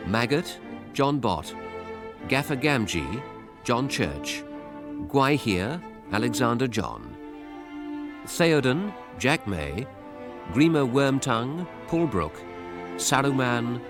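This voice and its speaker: Male announcer, formal credits reading, unhurried, expressive intonation, prominent music behind. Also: medium pitch. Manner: measured, clearly enunciated. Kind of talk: formal scripted radio credits. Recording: orchestral string music behind voice.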